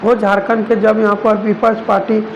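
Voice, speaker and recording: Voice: medium pitch, level tone, normal speed, lo-fi sound. A news presenter narrating regional reports in wordy, repetitive phrasing. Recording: noticeable background noise.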